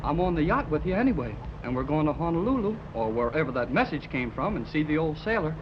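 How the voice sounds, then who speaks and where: An excitable teenage boy in a 1930s radio adventure. His voice swings widely as he gushes in slangy exclamations. The old recording is muffled and clearly noisy. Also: on the high side, quick pace. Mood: excited. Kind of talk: 1930s radio serial acting.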